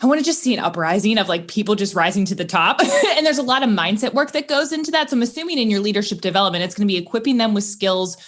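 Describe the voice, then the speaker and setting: A woman hosting a career coaching podcast, upbeat and enthusiastic, talking in quick bursts. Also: medium pitch, a bit bright.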